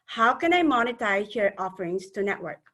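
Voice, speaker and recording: A woman from the Philippines with a Filipino accent, speaking earnestly as a webinar panel speaker and often pausing on fillers. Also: medium pitch, very animated, a bit bright. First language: a Philippine language. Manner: earnest, hesitant with many fillers. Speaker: panel speaker, networking organizer.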